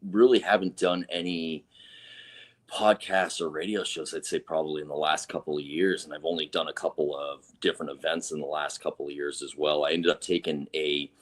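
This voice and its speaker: A man from northern Vermont, guest author on a podcast, relaxed with many fillers, recovering from a lost voice. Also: very deep voice, fairly quick. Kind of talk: casual interview.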